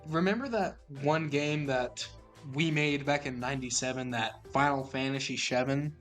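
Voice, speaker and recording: A man co-hosting a casual gaming podcast, talking in an animated, joking way. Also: medium pitch, moderate pace, soft background music. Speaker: podcast co-host.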